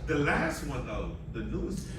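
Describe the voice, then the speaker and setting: Male panelist, opinionated and informal, lively intonation, very echoey distant pickup. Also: medium pitch, unhurried, background noise. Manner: blunt, informal. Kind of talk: casual, opinionated panel chat. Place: large convention panel room. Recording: echoey hall, distant mic, loud crowd.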